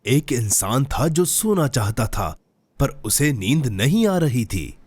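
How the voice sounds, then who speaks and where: A man voicing a patient, gentle adult storyteller in a children's cartoon. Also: mid-range voice, very animated, takes it slowly, exaggerated voice. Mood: sleepy, soothing. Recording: clear music behind the voice.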